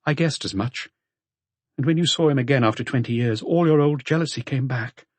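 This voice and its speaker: A man voicing a calm, detached middle-aged wife on the telephone, cool and matter-of-fact, in an audiobook. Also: medium pitch, a little fast, no echo, voice pushed up, lightly acted. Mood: cool, composed.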